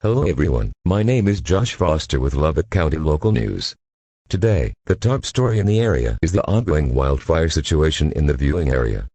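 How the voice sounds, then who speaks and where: A man playing a serious local news anchor, reading a scripted report with a husky voice and sober, formal delivery. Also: rumbling low, expressive, fairly quick, mellow, no echo, heavily acted.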